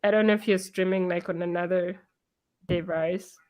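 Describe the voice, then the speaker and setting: Hoarse, giggly woman hosting a live stream. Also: lowish voice, fairly even intonation, normal speed, darkish tone. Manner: giggly, chatty, many fillers. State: hoarse from illness. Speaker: interview host. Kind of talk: casual live-stream chat. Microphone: computer mic on a video call.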